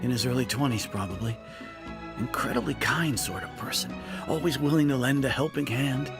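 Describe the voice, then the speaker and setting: Brief: a man reading an earnest village shopkeeper, gravelly and a little hesitant. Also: mid-range voice, fairly quick, over music, lightly acted. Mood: fond. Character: adult male villager shopkeeper, earnest and fond. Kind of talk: reading game dialogue aloud.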